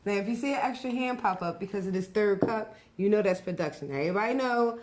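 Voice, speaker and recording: A woman's voice: mid-range voice, fast, noisy background. A woman hosting a casual interview show, upbeat and playful, talking straight to viewers. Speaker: show host.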